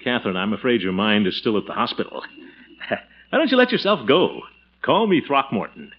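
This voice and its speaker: A man's voice: medium pitch, very animated, normal speed, breathy edge. Swooping, jovial flirtatious middle-aged man. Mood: playful flirting. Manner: chuckling, swooping, theatrical. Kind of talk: old-time radio comedy acting. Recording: muffled vintage radio recording with music.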